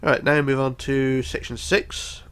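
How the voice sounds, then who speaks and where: A man's voice: medium pitch, level tone, normal speed, fairly bright tone, clear, resonant tone. A man with a bunged-up, congested voice giving a casual step-by-step building tutorial, counting aloud methodically. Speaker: tutorial host.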